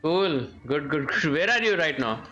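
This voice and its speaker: A young man talks casually to his stream chat. His voice is bright and a little high, and he repeats short phrases while game music plays lightly behind him. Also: animated, moderate pace, lo-fi sound. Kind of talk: casual live gameplay chat with viewers.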